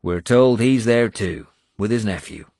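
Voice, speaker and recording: A man voicing a blunt, gruff Irish male warrior with an Irish accent, scolding and coarse. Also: on the low side, unhurried, darkish tone, no room sound, studio quality.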